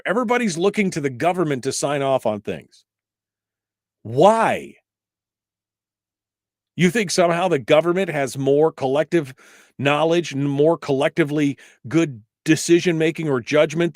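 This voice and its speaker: A man's voice: fairly high pitch, dry recording, clean studio sound. A male talk radio host speaking live in an emphatic, conversational, rambling way, giving his opinions and asking rhetorical questions.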